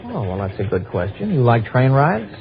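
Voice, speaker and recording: An actor playing a chatty, helpful American police sergeant in a 1950s radio drama, folksy and matter-of-fact. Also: male voice, medium pitch, sing-song, moderate pace. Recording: dull old radio recording.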